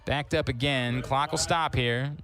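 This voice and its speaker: A man calling live play-by-play on a sports broadcast, with wry asides between plays. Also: mid-range voice. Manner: quick, excitable calls on big plays. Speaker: sports commentator.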